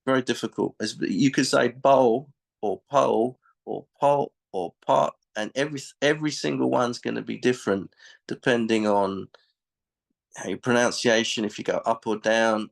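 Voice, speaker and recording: A man speaks in a low voice with a lot of creak. He is a martial arts instructor in an interview, giving emphatic, conversational explanations. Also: normal speed.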